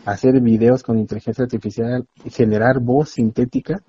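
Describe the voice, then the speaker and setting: Male academic guest, husky and warm-toned, earnest explainer, dull dry lo-fi recording. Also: medium pitch, steady tone, normal speed, no room sound. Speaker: university expert guest. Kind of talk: explanatory interview talk.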